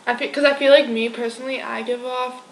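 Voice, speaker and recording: Flat-voiced casual young woman. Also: on the high side, fast, vocal fry, a little hiss or hum. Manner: casual, filler-heavy. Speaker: interviewee. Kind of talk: casual interview answers. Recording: echoey room.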